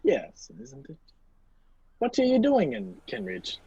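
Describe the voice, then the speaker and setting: A husky, very animated gentleman hero of a radio play, acted by an amateur. He is polite, wry and easily flustered, halting and exclaiming. The audio is dull, dry video-call sound. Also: very deep voice, no echo, full character voice. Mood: wry, urgent. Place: indoors, performed over a video call.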